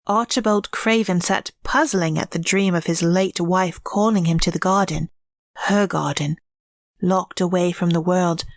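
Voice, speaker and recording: A woman giving scripted storybook narration in a measured, reflective way. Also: medium pitch, no echo, studio quality.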